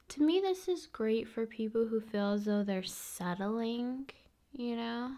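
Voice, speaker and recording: A woman speaking softly and often in a whisper, in an intimate, soothing ASMR style addressed to the viewer. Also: mid-range voice, gravelly. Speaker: ASMR video host.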